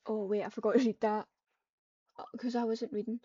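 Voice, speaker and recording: Muffled, mellow-voiced woman gaming. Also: on the high side, flat delivery, fairly quick, no room sound. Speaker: gamer, video creator. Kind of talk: casual roleplay gaming chat, reading and voicing chat lines. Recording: muffled, distant microphone.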